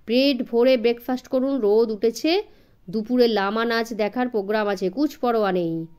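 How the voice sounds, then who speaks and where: A woman voicing a calm, confident male detective in an audiobook, brisk and reassuring, asking assured questions. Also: on the high side, smooth, natural voice.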